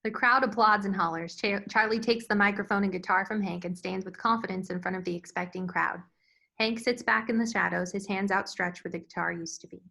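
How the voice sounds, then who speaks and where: Female narrator for screenplay directions, mid-pitched, bright, with noticeable creak, scripted delivery. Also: steady tone, fairly quick, rough recording. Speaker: narrator reading stage directions.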